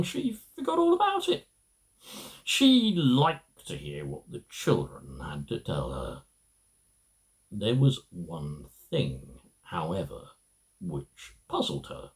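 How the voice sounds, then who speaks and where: A middle-aged man reading a children's story aloud, halting and emphatic, with small stumbles. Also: mid-range voice. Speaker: amateur storybook reader.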